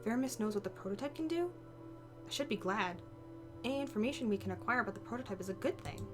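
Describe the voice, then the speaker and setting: A woman's voice: a little high, lively intonation, quick pace, over music, natural voice. Woman playing a conflicted, secretive heroine. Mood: conflicted, brooding. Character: conflicted, secretive heroine with a brooding, wary inner voice. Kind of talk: visual novel read-aloud with inner monologue.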